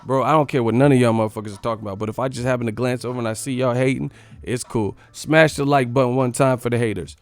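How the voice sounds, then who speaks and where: A man's voice: mid-range voice, steady tone, fast talker, no echo, faint music behind. Clean-voiced American man talking fight analysis. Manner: blunt, slangy, swearing. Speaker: live-stream boxing commentator. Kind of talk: casual opinionated fight analysis to live viewers.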